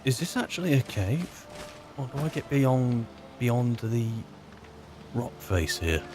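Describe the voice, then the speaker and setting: A man's voice: mid-range voice, sing-song, slow pace, background noise. A man hosting a gaming video, improvising roleplay commentary in a wry, musing and rambling manner.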